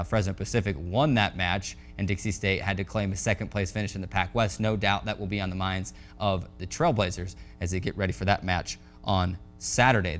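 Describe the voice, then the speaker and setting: A man hosting a college sports show, delivering a scripted sportscast in an announcer's style. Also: lowish voice.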